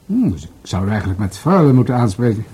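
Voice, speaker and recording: An actor playing a courteous older police commissioner in a radio play, polite, hesitant and a little old-fashioned. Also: male voice, lowish voice, very animated, fast talker, mellow, slight background noise. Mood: puzzled, courteous.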